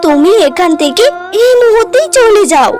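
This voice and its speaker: Snappy, selfish young wife for a cartoon, female performer, haughty, high-pitched, prominent music underneath. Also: big pitch swings, moderate pace. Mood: scornful, scolding. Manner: haughty, scolding. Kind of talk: cartoon story acting.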